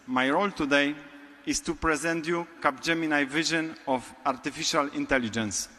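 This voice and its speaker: A man with a Polish accent giving a prepared keynote speech as a conference speaker, speaking slowly and deliberately with long pauses between phrases. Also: a little high, sharp tone. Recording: long hall reverb.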